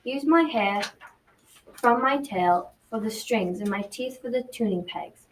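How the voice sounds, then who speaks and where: A woman's voice: a little high, expressive, unhurried. A young girl voicing a solemn, self-sacrificing animal helper in a school radio drama, earnest and recited. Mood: solemn, instructing. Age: child around 12 to 14. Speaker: student actor. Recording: noisy amateur recording, distant mic.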